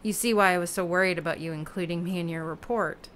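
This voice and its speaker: A woman playing a self-doubting lone human raft dweller in an audio play, talking in a halting, plain-spoken, unsure way. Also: on the low side, a bit bright, slight background noise, close to an everyday voice. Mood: anxious, ashamed. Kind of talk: naturalistic audio play acting.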